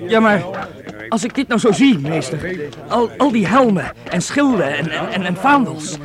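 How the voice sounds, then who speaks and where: A man's voice: high-pitched, sing-song, normal speed, a crowd in the background. A male actor in a Dutch radio play playing an eager, admiring medieval boy who stammers with enthusiasm. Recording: radio play with music behind.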